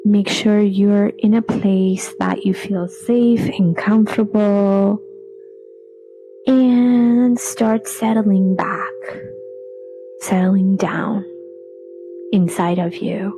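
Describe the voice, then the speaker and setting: A woman leading a guided relaxation meditation in a soothing, unhurried way, with phrases trailing off breathily. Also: mid-range voice, fairly even intonation, dark tone, slight background noise, poor audio. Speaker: yoga nidra meditation guide. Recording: voice over background music.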